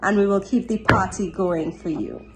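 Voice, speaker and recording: A woman's voice: mid-range voice, normal speed, very noisy. A woman speaking as a grandchild in a personal farewell tribute.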